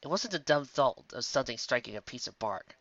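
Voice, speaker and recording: A narrator reading a scripted fantasy story aloud in an expressive storytelling style. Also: mid-range voice, fairly quick, no room sound, lo-fi sound. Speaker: fiction narrator.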